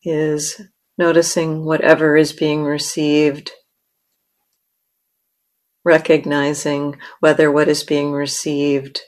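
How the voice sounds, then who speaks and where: Female meditation teacher: low register, very even intonation, measured phrasing with generous pauses. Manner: measured, with long pauses between short phrases. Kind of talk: guided meditation.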